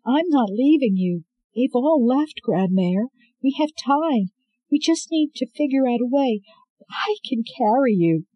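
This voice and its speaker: A reader voicing a desperate young woman who speaks urgently and tearfully, far higher than the reader's own narration. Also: animated, unhurried, highs cut off, slight background noise. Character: desperate young woman rescuing her grandmother.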